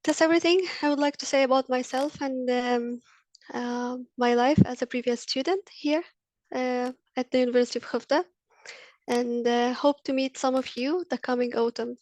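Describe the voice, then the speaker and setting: A woman in her thirties from Egypt gives an earnest talk in a webinar, pausing often on ums and uhs. Her voice sits a little high and sounds close and dry. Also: steady tone, darkish tone, dry recording. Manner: earnest, with frequent fillers. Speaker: university lecturer. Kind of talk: webinar presentation. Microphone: video call.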